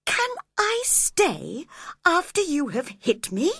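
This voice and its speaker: A woman reading aloud and voicing a polite, easily hurt young gentleman in a storybook. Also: high voice, wildly swooping pitch, takes it slowly, dry recording, studio quality, pitched above the performer's own voice, heavily acted.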